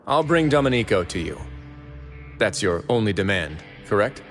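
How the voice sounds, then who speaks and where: A wry duke who runs a fortress prison in a video game. He speaks in a low, relaxed voice with a dry, sardonic edge. Game music plays behind him. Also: normal speed.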